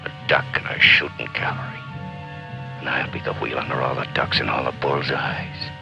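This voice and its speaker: An actor playing a bitter, doomed soldier who rambles to himself in self-mocking mutters, in an old film drama. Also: male voice, very deep voice, little pitch movement, moderate pace, creaky, music in the background. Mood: grim muttering. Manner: muttering, self-mocking, swinging interior monologue.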